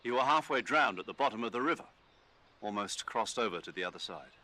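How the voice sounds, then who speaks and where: Low, forceful military commander. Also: male voice, animated, slight background noise. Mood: concerned. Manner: measured, expository, formal. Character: earnest, formal older male military commander giving briefings. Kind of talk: video game voice acting.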